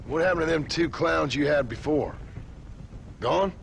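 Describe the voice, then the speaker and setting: An actor playing a laconic frontier gunslinger in a western game, speaking with dry sarcasm. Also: male voice, on the high side, a little slow, creaky, a little hiss or hum, low-quality recording.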